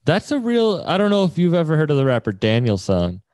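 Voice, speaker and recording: A man's voice: on the high side, wildly swooping pitch, brisk, a little mellow, no room sound, studio quality. A man hosting a podcast, interviewing in a casual, slangy and encouraging way. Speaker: podcast host interviewing a musician.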